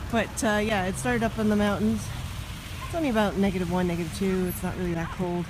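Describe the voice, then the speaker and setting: A chatty, upbeat woman vlogs as she walks down a snowy city street, her pitch sitting in the middle range. She talks straight to a handheld camera. Clear traffic noise runs behind her the whole time. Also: fairly quick. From Toronto, Canada. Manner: chatty, upbeat, talking to camera while walking. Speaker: vlogger. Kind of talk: casual walking vlog.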